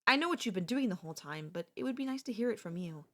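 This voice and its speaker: A young woman voicing an obsessive, possessive childhood friend in an ASMR roleplay, talking softly with a sweet, menacing calm and slipping into whispers. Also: medium pitch, fast talker, lightly acted. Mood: tender.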